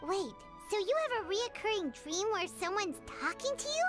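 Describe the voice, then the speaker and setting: A woman's voice: extremely high pitch, very animated, moderate pace. A woman voicing a tiny, chatty female companion sprite who refers to herself in the third person. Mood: spooked. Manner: talks about herself in third person, blurts. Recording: game audio under loud music.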